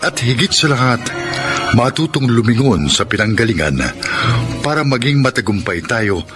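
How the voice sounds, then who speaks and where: Filipino guy, drama show narrator, deliberate n emphatic, lively pitch swings, music behind. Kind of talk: scripted dramatic narration, reading credits.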